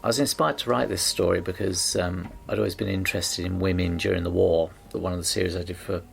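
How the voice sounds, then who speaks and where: A man's voice: low-pitched, fairly even intonation, fast, creaky. A man speaking as a writer in an informal behind-the-scenes interview. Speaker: scriptwriter.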